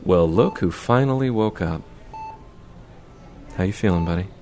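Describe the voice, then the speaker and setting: A man's voice: deep voice, animated, normal speed, darkish tone. An actor playing a loyal, easygoing, teasing male best friend in an audio drama. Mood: gentle, relieved.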